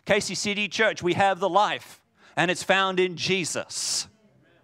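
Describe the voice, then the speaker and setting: A man preaching a sermon in a booming voice, swinging from loud declarations to hushed lines. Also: a little slow.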